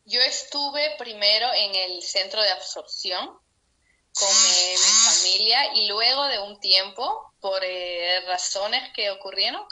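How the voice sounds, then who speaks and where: A woman's voice: medium pitch, pushed and bright, low-quality recording. A young Peruvian woman telling her story over a video call, heartfelt and laughing now and then. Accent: Peruvian Spanish. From Peru. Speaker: interviewee. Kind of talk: personal account in an interview.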